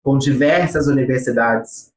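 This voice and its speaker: A Brazilian man speaks with a Brazilian Portuguese accent, answering interview questions about studying abroad in an unhurried, explanatory way. His voice sits at an ordinary mid pitch, and frequent hesitation fillers break up his sentences. The sound carries a noticeable room echo. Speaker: guest from a study-abroad agency.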